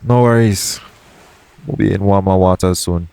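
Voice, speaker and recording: Low-voiced roguish pirate captain, acted by a man. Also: normal speed, dry recording. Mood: easygoing, reassuring. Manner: colloquial dialect grammar, gently teasing, frank. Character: shady, roguish male pirate captain, jaded yet tender romantic. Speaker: audio roleplay voice actor. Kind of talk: intimate audio roleplay acting addressed to the listener. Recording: clear background noise, ambient sound bed.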